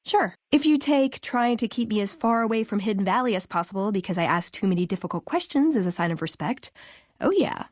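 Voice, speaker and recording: A woman acting as a young female scribe from a post-apocalyptic video game, recorded dry and close. She is chatty and idealistic, with a sardonic edge to her conversational delivery. Her voice sits at a medium pitch for a woman. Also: lively intonation, fairly quick, no room sound, close to an everyday voice. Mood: playful, sardonic. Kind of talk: acted video game companion dialogue.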